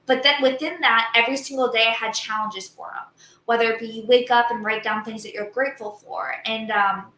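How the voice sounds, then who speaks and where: A woman's voice: on the high side, steady tone, sharp tone, reverberant, a little hiss or hum, rough recording. A woman from Southern Florida, a guest entrepreneur, talking in a chatty way and often saying "like" during an interview over a video call. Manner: chatty, fast, says "like" often. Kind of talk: casual interview.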